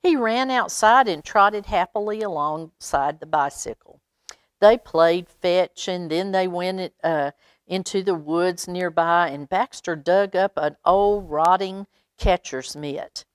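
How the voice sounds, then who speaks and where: Woman reading a storybook, unhurried pace. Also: lowish voice, smooth, dry recording. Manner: expressive, chatty asides to young listeners. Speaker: library storytime reader. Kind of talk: children's picture book read-aloud with asides.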